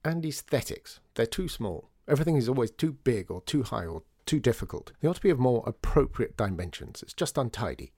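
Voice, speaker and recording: A man voicing a sharp-tongued female newspaper columnist who talks in quick, cutting, fussy phrases. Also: medium pitch, sing-song, breathy edge, no room sound, clean studio sound, pitched above the performer's own voice. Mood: acerbic.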